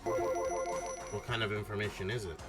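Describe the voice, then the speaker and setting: Casting: earnest, uneasy male teen protagonist, read by a man, lowish voice, game narration over music. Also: fairly even intonation, measured pace, close to an everyday voice. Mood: puzzled. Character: earnest, anxious ordinary teenage boy, narrator-protagonist. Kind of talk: amateur game dialogue and narration read aloud.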